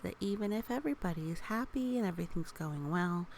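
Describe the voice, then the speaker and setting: A woman reading a picture book aloud for young children, with gentle, expressive, chatty asides and questions. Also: on the low side, fast talker, gravelly, slight background noise.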